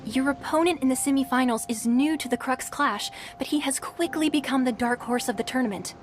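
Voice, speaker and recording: Game role: polite female crew guide voiced by a woman, high, formal. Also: brisk, close to an everyday voice. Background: game music.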